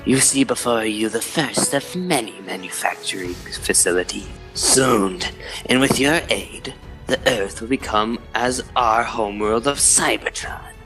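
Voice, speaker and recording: A man voicing an imperious, low-voiced robot villain. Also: measured pace, fairly bright tone, soft background music. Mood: grandiose, cold. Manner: imperious, formal declamation. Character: scheming, commanding male alien robot villain. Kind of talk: dramatic comic-dub voice acting.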